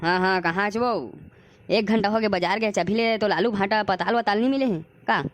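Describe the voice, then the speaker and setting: A man's voice: high-pitched, fairly quick, fairly bright tone, no echo. Man playing a grumbling Chhattisgarhi village husband. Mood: nagging. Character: hungry, grumbling village husband in a comic cartoon. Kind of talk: comic cartoon dialogue in dialect.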